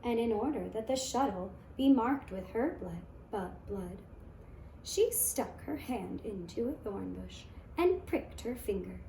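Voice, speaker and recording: A woman narrating a fairy tale for children in a deliberate, expressive storytelling manner. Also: mid-range voice, creaky. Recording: echoey room with faint noise.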